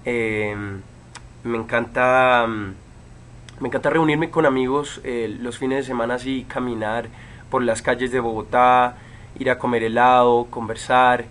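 A man's voice: mid-range voice, slight background noise. Young man chatting on a webcam. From Chile. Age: 20s. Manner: relaxed, many fillers and pauses. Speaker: actor answering fan questions. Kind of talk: casual livestream chat. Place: home room with bookshelves, indoors.

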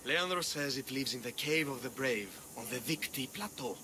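An actor playing a vain, glory-seeking younger brother warrior who haggles eagerly. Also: male voice, mid-range voice, expressive, normal speed, pushed and bright, slight background noise.

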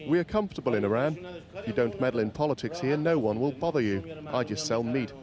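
A man's voice: medium pitch, expressive, fast talker, over music. A man speaking as a butcher in plain, matter-of-fact interview answers.

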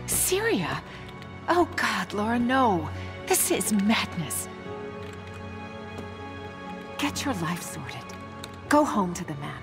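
A woman's voice: mid-range voice, sing-song, takes it slowly, music in the background. A woman playing a concerned, sceptical middle-aged family friend in a video game. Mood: pleading. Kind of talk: cinematic video game acting.

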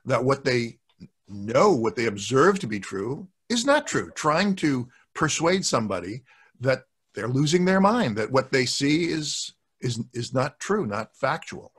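An older man as a guest co-host, explaining things in a measured, precise way in casual discussion. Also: mid-range voice, sing-song, fairly bright tone, husky. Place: home office, indoors, video call. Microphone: large desktop microphone.

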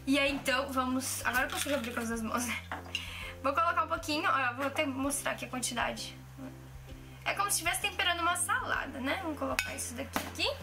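A woman's voice: high-pitched, fast. A woman in her twenties chatting casually through a home cooking vlog, restarting sentences often, in a kitchen on a camera mic. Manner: chatty, hesitant, many restarts and fillers. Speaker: cooking vlogger. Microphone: camera mic at arm's length. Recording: music playing under the voice. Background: background music and clinking dishes.

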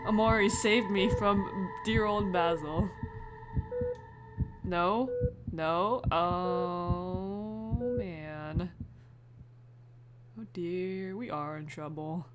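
A woman doing casual, expressive playthrough commentary as a gamer, often exclaiming as she reacts. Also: lowish voice, extremely slow, long pauses, over music. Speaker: gamer, let's play host.

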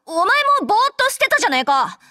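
High, brash tsundere android girl. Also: sing-song, quick pace, bright, forceful tone, no room sound, clean studio sound, full character voice. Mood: flustered, demanding. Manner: rough, tomboyish, blunt speech. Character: brash, tsundere young female android soldier. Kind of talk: Japanese anime game character voice lines.

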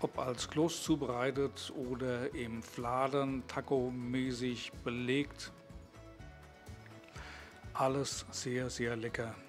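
Man, sixties, vlog narration, medium pitch, background music. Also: level tone, slow pace. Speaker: vlogger.

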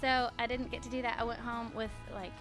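A woman's voice: fairly high pitch, flat delivery, fairly quick. A woman, a singer telling stories in a casual interview at a festival. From Texas. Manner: chatty, storytelling, laughs often. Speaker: country singer. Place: festival backstage area. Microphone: handheld interviewer mic. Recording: music playing behind. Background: music.

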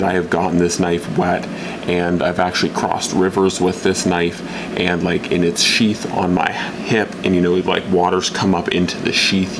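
Low-voiced young man reviewing gear. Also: gravelly, noisy background. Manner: rambling, many fillers and restarts. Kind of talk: casual gear review talk. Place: indoors at a workbench.

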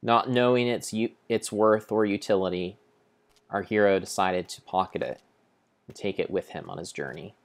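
A young man improvising a mock-grand, deliberate and halting dramatic narration of a video game. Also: low-pitched. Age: young adult. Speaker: game streamer. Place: indoor room at home.

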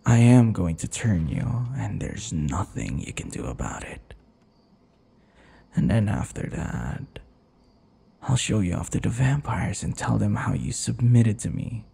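A man acts out an ancient male vampire captor for an ASMR roleplay audio. The voice is very breathy and husky, with a gravelly creak and a lowish pitch. He is possessive and taunting, crooning with mock tenderness. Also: sing-song, dark tone, heavily acted. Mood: smug, menacing. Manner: slow, mock-tender, taunting, often dropping to whispers. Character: teasing, possessive five-century-old male vampire captor. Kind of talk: ASMR boyfriend roleplay, intimate dramatic monologue.

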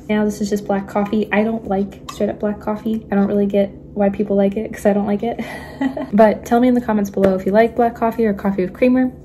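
A young woman chatting casually in her home kitchen at night, giggling and often dropping to a hushed voice, recorded on a phone camera on the counter. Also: mid-range voice, background noise. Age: young adult. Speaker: vlogger. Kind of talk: casual cooking vlog chat.

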